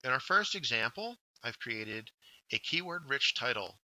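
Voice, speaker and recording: A man narrating a scripted instructional workshop, explaining step by step with deliberate emphasis on key words. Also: mid-range voice, very animated, pushed and bright, no room sound, low-quality recording. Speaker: workshop instructor, journal editor.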